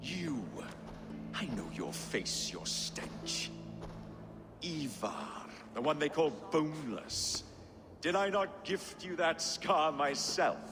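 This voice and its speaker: A man playing a proud, vengeful British king in a video game, slow and declamatory with contempt. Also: on the high side, lively intonation. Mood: cold contempt. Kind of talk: dramatic video game acting. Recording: long hall reverb, loud music.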